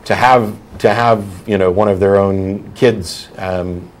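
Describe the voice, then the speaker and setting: Blunt low-voiced male talk show host. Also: fairly even intonation, slow pace, slight background noise. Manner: blunt, argumentative, explains at length. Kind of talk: call-in show chat.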